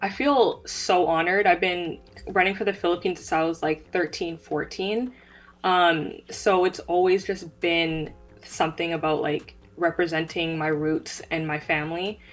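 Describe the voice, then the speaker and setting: Low-pitched young woman. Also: fairly even intonation, a little slow, faint music behind. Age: young adult. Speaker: guest, track sprinter. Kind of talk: conversational interview answers.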